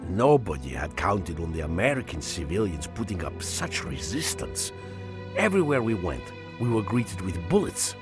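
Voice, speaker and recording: A man playing a weary Soviet soldier who narrates his war memories in a video game, somber and measured. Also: low-pitched, very animated, music in the background. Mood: somber reflection.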